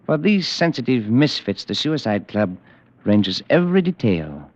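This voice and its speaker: An actor playing a smooth, sinister recruiter for a secret deadly club, in an old-time radio drama. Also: male voice, medium pitch, big pitch swings, a little slow, no room sound. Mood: persuasive. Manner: suave, persuasive, ominous. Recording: dull old radio recording.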